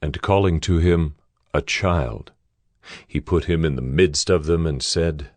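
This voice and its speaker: A man giving scripted narration for a dramatized scripture reading in a measured, solemn storytelling manner. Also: very deep voice, a little mellow, no room sound.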